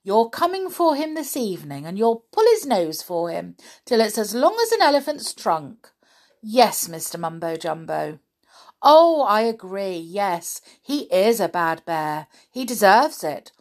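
A woman's voice: medium pitch, sing-song, measured pace, voice pushed up. A woman voicing a sly, bossy girl doll in a children's story, gushing and mock-polite. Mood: smug.

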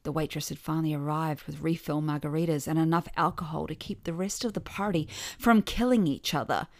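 A woman's voice: low-pitched, a little fast, no room sound. A middle-aged woman reading a scripted first-person story with wry, dry humour. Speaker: podcast author and narrator.

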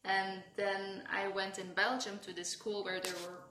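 A woman's voice: mid-range voice, level tone, normal speed, pushed and bright, echoey room, a little hiss or hum, rough recording. A young woman from France, a podcast guest talking casually and hesitantly, with many fillers. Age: young adult. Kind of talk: casual conversation.

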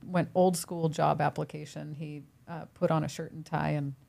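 A woman's voice: deep voice, level tone, moderate pace, gravelly, warm tone. A woman in her fifties, a personal trainer and gym owner, chatting in an upbeat, easygoing way in an interview. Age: 50s. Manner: upbeat and chatty, laughs easily. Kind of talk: casual interview chat.

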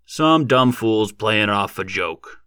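A man voicing a gruff, irate, shrewd rural American farmer in an audiobook, growling in folksy dialect. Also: lowish voice, animated, takes it slowly, bright, forceful tone, dry recording, studio quality, heavily acted. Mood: dumbfounded.

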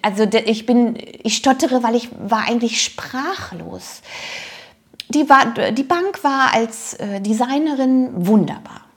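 Higher-pitched woman, hesitant storyteller. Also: lively intonation, a little slow. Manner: hesitant, searching for words. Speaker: designer telling a personal story. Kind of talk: informal personal storytelling.

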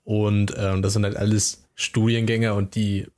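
A man's voice: lowish voice, flat delivery, normal speed, darkish tone. A man chatting casually as a podcast co-host, laid-back and hesitant, with many fillers. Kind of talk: casual chat.

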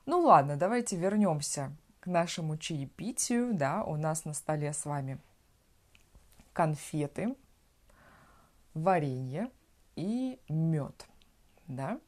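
A woman from Russia hosts a relaxed language podcast, speaking in an unhurried, lower voice. She explains words patiently and checks in with the listener as she goes. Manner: explains words simply, often asks "да?". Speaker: podcast host teaching Russian. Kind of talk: slow conversational language lesson.

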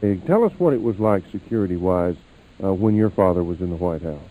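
A man's voice: deep voice, animated, a little fast, mellow, poor audio. A man hosting an interview in a casual, chatty and rather wordy conversational way. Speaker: interview host.

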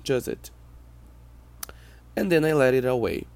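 Brazilian man, non-native English, unhurried and halting, solo podcast musings. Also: medium pitch, animated, a little mellow. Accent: Brazilian Portuguese. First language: Portuguese. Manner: reflective, hesitant, halting. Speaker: podcast host. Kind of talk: personal monologue podcast.

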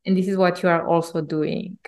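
A woman's voice: fairly low pitch, little pitch movement, a little fast, darkish tone. A woman hosting a podcast, reading a scripted introduction and asking enthusiastic, earnest questions. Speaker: podcast host.

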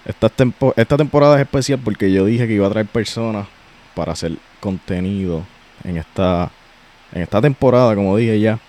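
Low-voiced Puerto Rican man hosting. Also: fairly quick. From Puerto Rico. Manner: slangy and relaxed, many restarts. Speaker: podcast host. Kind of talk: casual podcast chat.

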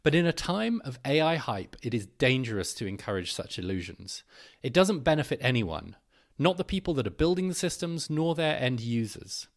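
A man's voice: medium pitch, big pitch swings, moderate pace. A man giving a scripted narration, reading an article aloud.

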